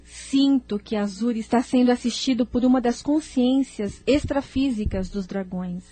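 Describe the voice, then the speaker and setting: A woman plays a grave, noble seer in an audio drama. Her voice sits slightly high and moves deliberately and formally, with faint music behind her. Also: mellow. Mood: grave, intent. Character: Dara, noble telepathic seer, grave, solemn and sensitive. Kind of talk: solemn audio drama acting.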